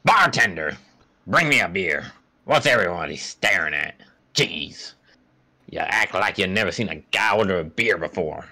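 Man doing a pompous little cartoon schemer, forceful, swinging pitch, brash, grumpy, indignant. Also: expressive, normal speed, creaky, heavily acted.

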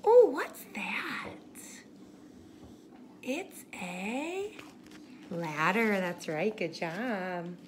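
A woman in her thirties reading a picture book aloud to children in an animated, expressive way, with exaggerated delivery and hushed asides. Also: medium pitch, very slow, pauses often, slight background noise. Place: home kitchen.

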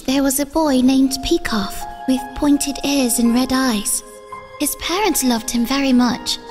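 A woman voicing a timid, earnest village girl in a comic dub, hesitant and soft-spoken. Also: high-pitched. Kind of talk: comic dub acting and fairy-tale storytelling.

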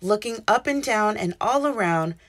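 Animated woman reading a children's story. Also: medium pitch, moderate pace. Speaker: children's book reader. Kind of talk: expressive scripted read-aloud narration.

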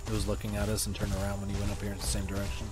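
Low-voiced man chatting over game music. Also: completely flat delivery, very fast. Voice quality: slightly scratchy. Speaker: gamer playing a survival game with friends. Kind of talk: casual gaming chat.

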